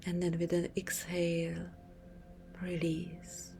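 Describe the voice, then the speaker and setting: A woman leads a guided relaxation very slowly, in a soft half-whisper. Her delivery is soothing and nearly level in pitch, with long pauses between phrases. Quiet music plays behind her. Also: monotone, vocal fry. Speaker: yoga nidra teacher.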